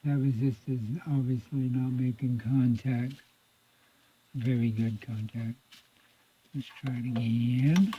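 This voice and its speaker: A man giving an informal, folksy repair demonstration, thinking aloud in a halting mutter as he works. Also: mid-range voice, fairly even intonation, dark tone, slight background noise. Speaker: radio repair hobbyist presenting own repair video. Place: indoors at a repair workbench. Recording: muffled phone-like audio, lo-fi.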